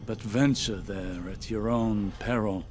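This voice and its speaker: A male actor voices a somber, resigned mentor who walks through time in a video game. He speaks in a lower-pitched voice, very slowly and deliberately, with solemn weight on his phrases. Prominent music plays behind him. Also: steady tone, darkish tone, lightly acted. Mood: somber, regretful. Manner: solemn, deliberate, portentous delivery. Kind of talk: dramatic scripted video game cutscene monologue.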